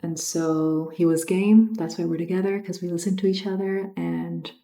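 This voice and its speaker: A tired woman vlogger who natively speaks Spanish, chatting casually and reflectively, sometimes halting to rephrase. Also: lowish voice, fairly even intonation, warm tone. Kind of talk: casual reflective chat to camera.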